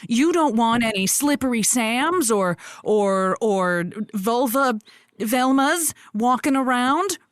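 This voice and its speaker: A woman's voice: fairly high pitch, expressive, slow, no echo, studio quality. A woman playing a frank, wry advice host on a comedy radio show. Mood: wry concern.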